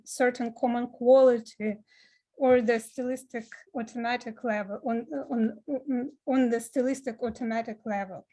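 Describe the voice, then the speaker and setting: A woman's voice: on the high side, darkish tone. Hesitant woman giving a seminar talk. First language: Ukrainian. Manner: halting, many filler sounds and restarts. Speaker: seminar speaker, film scholar.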